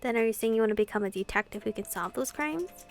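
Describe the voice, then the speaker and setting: A frank, caring man from a visual novel voiced by a woman in her own fairly high register, direct and reassuring. Soft music sits behind the lines. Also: quick pace, lightly acted. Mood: probing, supportive.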